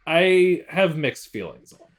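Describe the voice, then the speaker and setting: A man's voice: on the high side, very animated, slow. A man co-hosting a podcast, chatting casually about what he reviews in a tentative, hedging way. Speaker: podcast co-host.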